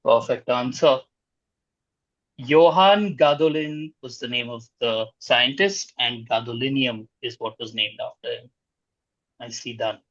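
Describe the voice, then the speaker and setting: A man's voice: mid-range voice, measured pace, a bit bright, no echo. A man acting as quiz master, reading out questions and scoring answers over a dull-sounding online call.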